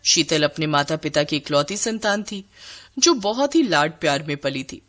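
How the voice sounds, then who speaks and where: A woman's voice: sits low, lively intonation, normal speed, fairly bright tone, faint music behind. A woman narrator reading a scripted story.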